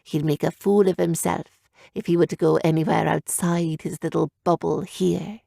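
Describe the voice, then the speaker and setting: A woman voicing an old, calm and kindly woman mage who speaks in a hushed, gentle way. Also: on the low side, normal speed, creaky, dry recording, studio quality.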